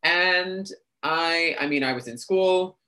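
A man's voice: a little high, slow pace, pushed and bright. A young adult man from New City, a guest chatting casually and talkatively in an interview, full of "like" and "um". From New York City. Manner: talkative, chatty with frequent fillers.

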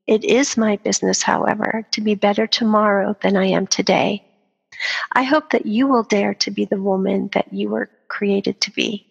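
A woman's voice: mid-range voice, steady tone, normal speed, gravelly, slightly rough, long reverb tail, muffled recording. An older woman, a grandmother, reading her personal story aloud in an earnest, inspirational way.

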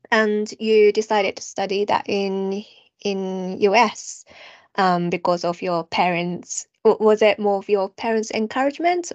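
Woman host asking gentle questions, dull sound. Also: medium pitch, no echo. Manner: gentle, hesitant questions with many backchannels. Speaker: podcast host. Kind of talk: casual interview.

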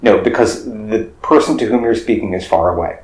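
A man's voice: on the low side, fairly quick, darkish tone. A man in his forties co-hosting a podcast, joking and rambling through casual chat with many restarts. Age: 40s. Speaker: podcast co-host. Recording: roomy echo with faint music.

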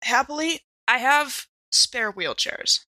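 A woman chats and reacts as a player in a tabletop role-playing game. Her voice is high and bright, with big lively swings in pitch. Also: takes it slowly, no echo, rough recording.

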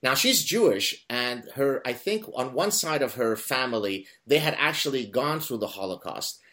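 A man whose first language is Arabic, hosting an interview and telling a story in an animated, emphatic way. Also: medium pitch, moderate pace. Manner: animated, emphatic, with self-corrections. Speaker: host.

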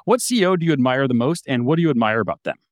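A man's voice: medium pitch, animated, dry recording, clean studio sound. A man hosting a podcast, reading an upbeat intro and then asking casual interview questions. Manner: upbeat, brisk host delivery. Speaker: podcast host.

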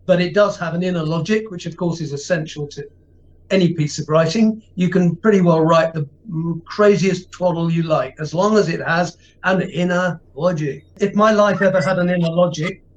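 A man's voice: high-pitched, normal speed, highs cut off, a little hiss or hum. A man who is an actor and musician, guesting on a podcast over a video call, musing and hesitant. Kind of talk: casual interview chat.

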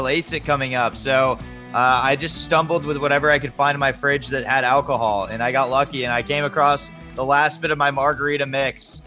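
A man's voice: mid-range voice, pushed and bright. A man co-hosting a sports podcast in casual chat, rambling and hesitant, with many fillers and repeats. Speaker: podcast co-host.

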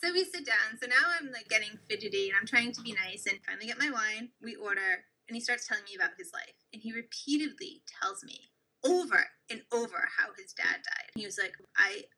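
Young woman co-host, animated storyteller, quick delivery, slightly high voice. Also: rough recording. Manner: animated, acts out quoted lines. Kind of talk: casual storytelling chat.

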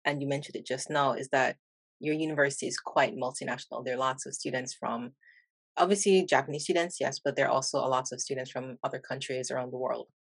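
A woman with a Jamaican accent speaks in a notably low, even voice. She talks in a thoughtful, measured way, close to the mic in a dry room. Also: gravelly, breathy edge, no echo. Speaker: English teacher and interviewer. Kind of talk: conversational interview.

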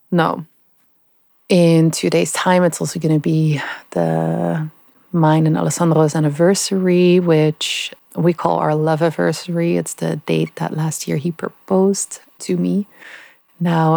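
A young woman hosting her own podcast, talking candidly and haltingly while nasal and stuffy from a flu. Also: low-pitched, creaky, warm tone, no echo, studio quality. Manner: candid, halting, with many pauses and "yeah"s. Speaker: podcast host. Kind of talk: casual personal podcast monologue.

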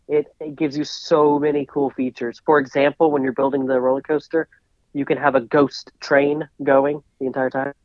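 A man with a middle-pitched voice who explains things earnestly in a casual chat. His mic sounds dull and lo-fi, and the sound is dry. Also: flat delivery, moderate pace, no echo.

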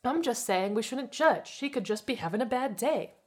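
A woman's voice: fairly high pitch, animated, moderate pace, a little mellow, slightly rough, voice pushed up. A woman voicing an indignant young woman in a cartoon mystery story, sarcastic and complaining. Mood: grumbling.

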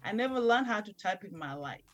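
A woman's voice: medium pitch, lively intonation, brisk, a bit bright, faint music behind. Emphatic middle-aged woman, non-native English. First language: not English. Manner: emphatic and determined. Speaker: guest, night-shift nurse and author. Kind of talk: interview conversation.

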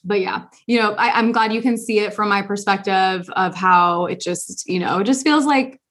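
A woman's voice: medium pitch, moderate pace, a bit bright. A young adult woman hosting a podcast, talking earnestly in casual conversation with lots of fillers and restarts. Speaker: podcast host.

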